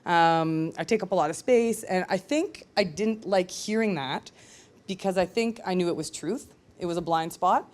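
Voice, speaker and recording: A woman's voice: fairly low pitch, moderate pace, darkish tone. A woman telling a personal story to an audience in a candid, conversational, self-reflective way. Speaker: speaker at a live storytelling show.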